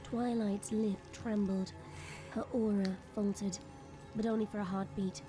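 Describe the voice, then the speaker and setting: A woman's voice: mid-range voice, level tone, a little slow. Woman narrating drama over music. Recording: music behind the voice. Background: clear background music.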